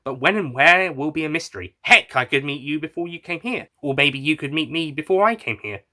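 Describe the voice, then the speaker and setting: A man's voice: a little high, animated, fairly quick, pushed and bright, pitched above the performer's own voice. A man voicing a wry, defensive time-travelling alien hero in an audio story. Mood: wry, affectionate.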